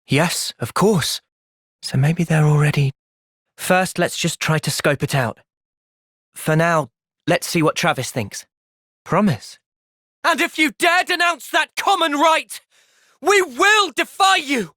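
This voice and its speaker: A man voicing an earnest, thoughtful young fighter in a video game, measured and sincere but capable of impassioned intensity. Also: high voice, lively intonation, bright, forceful tone, no room sound, clean studio sound, exaggerated voice. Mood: thoughtful, steady, then urgent, defiant. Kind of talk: video game cutscene voice acting.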